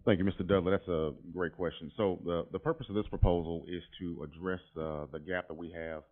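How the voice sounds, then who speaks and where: A man's voice: low voice, steady tone, brisk. A man presenting a proposal at a school board meeting, explaining steadily with frequent filler words. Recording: tinny lo-fi meeting audio.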